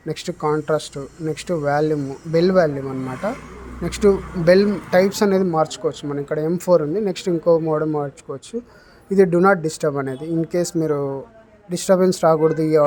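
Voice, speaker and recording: A man's voice: a little high, a little mellow. A man giving an unscripted, explanatory product demonstration, mixing in English technical terms. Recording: clear background noise, room ambience.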